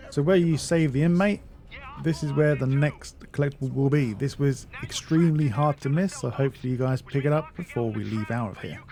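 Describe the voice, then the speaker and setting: Guy doing a chill game walkthrough, slightly high voice, soft mellow tone. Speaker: video game guide narrator. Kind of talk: casual walkthrough commentary.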